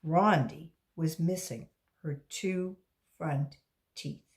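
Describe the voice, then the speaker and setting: A woman's voice: deep voice, slow pace, darkish tone. A woman reading a children's story aloud as a volunteer reader for young listeners.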